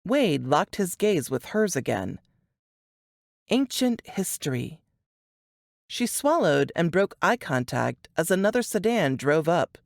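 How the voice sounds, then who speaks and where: Low-pitched woman narrating fiction, lively intonation. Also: takes it slowly, vocal fry, dry recording, clean studio sound. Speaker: audiobook narrator.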